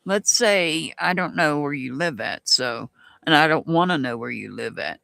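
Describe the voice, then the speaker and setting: A tarot reader with a Southern US accent, giving live-stream readings and answering chat in a slow, musing way with frequent restarts. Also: medium pitch, lively intonation, creaky, bright, forceful tone, no room sound, clean studio sound.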